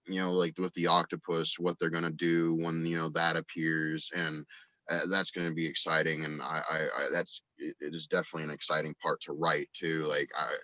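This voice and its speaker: A man chatting casually as a podcast guest about his writing, speaking haltingly and informally with some swearing. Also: rumbling low, flat delivery, pushed and bright, no echo. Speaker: podcast guest, audio drama writer and actor. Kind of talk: casual chat, brainstorming stories. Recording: dull, lo-fi, dry audio.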